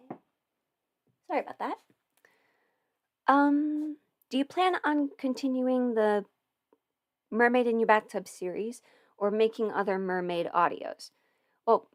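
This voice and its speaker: High-voiced woman rambling casually on a livestream. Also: unhurried, long pauses. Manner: informal, rambling, thinking aloud. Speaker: livestream host and voice performer answering viewer questions. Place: indoors at a desk at home.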